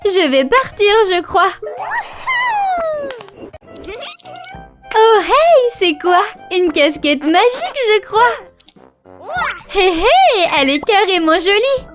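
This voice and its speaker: An actor voicing an excitable, cheeky little lion cub in a children's cartoon, talking in singsong rhymes over music. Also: very high voice, takes it slowly, heavily acted. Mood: cheerful. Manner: singsong rhyming delivery. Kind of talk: rhyming children's cartoon dialogue. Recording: light music behind.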